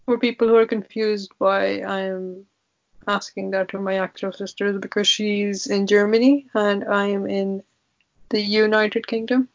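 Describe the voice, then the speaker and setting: A woman's voice: medium pitch, flat delivery, a little slow. Chatty young woman hosting a podcast. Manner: teasing, chatty. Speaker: podcast host. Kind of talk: casual sibling podcast chat. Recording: dull lo-fi podcast audio.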